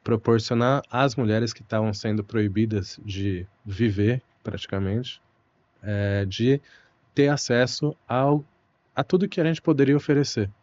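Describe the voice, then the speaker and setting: A man's voice: mid-range voice, steady tone, darkish tone, no room sound, highs cut off. A young Brazilian man, a Portuguese teacher, talking thoughtfully and unhurriedly as a guest in an interview. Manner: thoughtful, unhurried, frequent "né" and "puxa" fillers. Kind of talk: relaxed conversational interview.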